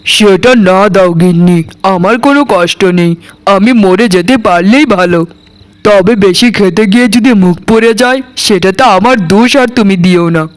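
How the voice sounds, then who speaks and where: High-pitched meek, plaintive husband played by a man. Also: moderate pace, natural voice. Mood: resigned, reproachful. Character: meek, injured husband, honest, reproachful and moralizing. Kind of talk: Bengali cartoon voice acting.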